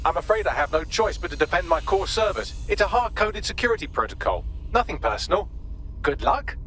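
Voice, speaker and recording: An actor playing a sardonic, condescending artificial intelligence in a video game, articulate and dryly polite while menacing. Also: male voice, high voice, very animated, brisk, a bit bright, slight background noise. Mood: politely menacing. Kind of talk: scripted game dialogue.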